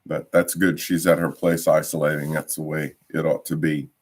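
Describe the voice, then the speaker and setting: A man leading an informal Bible study, a little croaky from a cough, hesitant with many ums and restarts. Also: very deep voice, level tone, normal speed.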